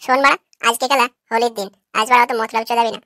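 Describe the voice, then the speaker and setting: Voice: mid-range voice, fairly even intonation, very fast, bright, forceful tone, dry recording, studio quality. A performer voicing a crude, cocky young neighborhood prankster in a cartoon, always teasing. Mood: scheming.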